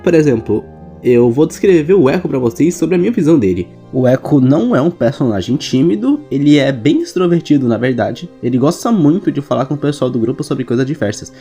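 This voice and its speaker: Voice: medium pitch, a little fast. A young adult with a Brazilian accent explaining story lore in a casual, chatty, slangy way. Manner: informal, slangy, animated storytelling. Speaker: video creator answering viewer questions. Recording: background music behind voice. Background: music.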